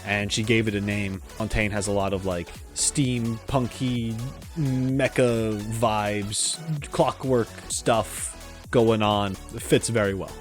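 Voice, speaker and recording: A man hosting a gaming reaction video, giving casual, informal and expressive commentary. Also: on the low side, slow. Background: music under the voice.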